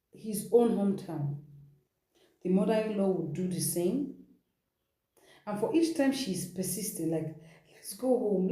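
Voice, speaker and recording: A woman's voice: mid-range voice, dark tone. A woman hosts an informal storytelling session, retelling someone's account in a conversational way with rhetorical asides. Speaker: storytelling host. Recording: roomy, echoing sound.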